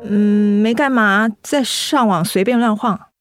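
An actress plays a young office worker with a sweet, soft-spoken manner. She is polite and evasive, mid-pitched, acting in a radio drama over faint music. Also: unhurried, lightly acted. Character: sweet young female office worker hiding her illness.

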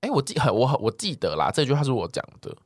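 A man's voice: medium pitch, huge pitch swings, fast, breathy edge, no echo, studio quality. A man with a Taiwanese accent chatting casually as a podcast co-host, with a teasing, colloquial manner. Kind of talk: casual chat.

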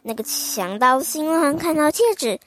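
A young woman reading a fairy tale aloud to children in a gentle, sing-song, child-directed manner. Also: sits high, lively intonation, normal speed. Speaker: children's storyteller host.

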